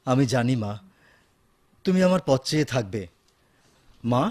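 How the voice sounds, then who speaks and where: An actor in a Bengali radio drama playing an affectionate young father and devoted son, gentle and earnest, with a Bangladeshi accent. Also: mid-range voice, lively intonation, unhurried. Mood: warm, caring.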